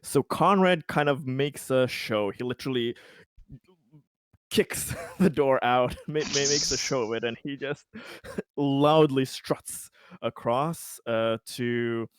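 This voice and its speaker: A man plays in a casual tabletop role-playing session. His voice is clean and resonant at an average pitch, with stop-start phrasing as he narrates his moves. The recording is close and dry, without room sound. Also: takes it slowly, clean tone, no echo. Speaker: tabletop game player.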